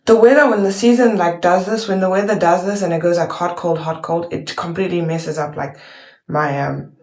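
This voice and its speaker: Rambling woman with a slightly gravelly voice. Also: fairly low pitch, steady tone, fairly quick, reverberant. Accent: hard to place. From New Zealand. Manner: rambling, filler-heavy, self-correcting. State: allergies, clearing throat. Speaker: reaction video host. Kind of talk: casual chat.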